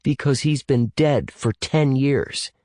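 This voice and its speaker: A man's voice: medium pitch, slow, darkish tone, dry recording, clean studio sound, pitched above the performer's own voice, natural voice. A man voicing a young male computer geek who talks casually, directly and eagerly in an audiobook story. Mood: matter-of-fact.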